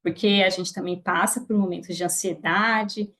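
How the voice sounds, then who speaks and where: A woman's voice: on the low side, steady tone, moderate pace, fairly bright tone. A woman with a Brazilian Portuguese accent, a podcast guest speaking earnestly and reflectively, with hesitant restarts. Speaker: guest, affiliate marketer. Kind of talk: casual podcast interview.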